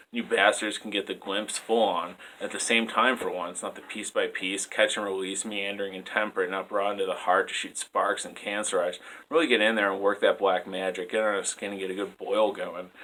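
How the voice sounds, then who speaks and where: A man's voice: lowish voice, pushed and bright, low-quality recording. A man in his twenties reading his poetry aloud as a spoken-word performance. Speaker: poet. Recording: clear background noise.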